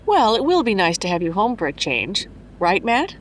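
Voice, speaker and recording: A woman playing a patient, playful mother of two in an audio drama, gently teasing. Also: medium pitch, very animated, normal speed, a little hiss or hum, lightly acted. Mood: playfully patient.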